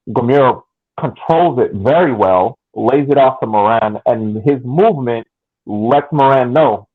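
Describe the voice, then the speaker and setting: A man co-hosting a soccer podcast, breaking down the play in a thoughtful, repetitive way. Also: mid-range voice. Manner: thoughtful, repeats phrases for emphasis. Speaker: podcast co-host. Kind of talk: casual soccer analysis chat. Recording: tinny phone-like line.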